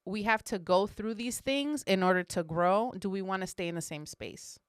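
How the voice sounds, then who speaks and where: Female podcast co-host, smooth clear voice, direct and encouraging, dry close sound. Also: medium pitch, normal speed, no room sound. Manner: encouraging and direct, with frequent affirming murmurs. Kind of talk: casual podcast conversation.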